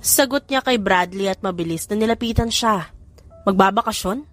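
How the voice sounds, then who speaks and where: A woman's voice: medium pitch, moderate pace. A woman reading a scripted romance story as an audiobook narrator.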